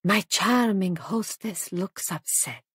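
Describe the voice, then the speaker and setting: A woman narrator acts out an elderly foreign gentleman in a storybook. He is husky and theatrical, drawing out his phrases with sly glee. His courtesy carries an insinuating menace, heard up close and dry. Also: medium pitch, slow, no echo, full character voice.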